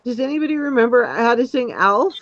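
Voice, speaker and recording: A woman's voice: a little high, animated, fairly quick. A woman hosting a casual live stream, chatting with guests and reading viewer comments aloud. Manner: chatty, reads viewer comments aloud, sings along off-key. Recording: dull lo-fi stream audio.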